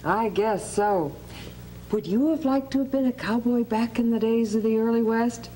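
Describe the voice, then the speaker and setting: A woman's voice: on the high side, moderate pace, dark tone, poor audio. A woman hosting a scripted educational programme in a courteous, inquisitive, conversational way. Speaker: host. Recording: hum and faint background noise.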